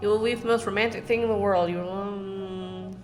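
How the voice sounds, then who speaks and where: A woman's voice: mid-range voice, steady tone, brisk. Chatty woman reacting over game music. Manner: playful, chatty, thinking aloud. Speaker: gaming reaction streamer. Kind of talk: casual reaction commentary, some reading aloud.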